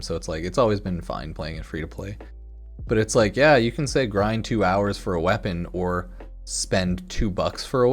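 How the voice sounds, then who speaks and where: A man giving casual, rambling commentary as a gaming YouTuber, informal and noncommittal, with some mild swearing. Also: low-pitched. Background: music in the background.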